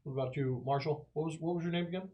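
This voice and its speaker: A man's voice: fairly high pitch, rapid-fire, muffled recording. A man playing a tabletop role-playing game, bantering casually in character with a teasing, swaggering manner.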